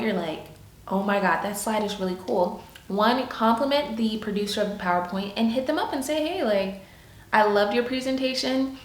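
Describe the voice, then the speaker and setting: A young woman giving casual, upbeat career advice in a chatty vlog style, with lots of "like"s. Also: mid-range voice. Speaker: YouTube creator, product and project manager. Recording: echoey room.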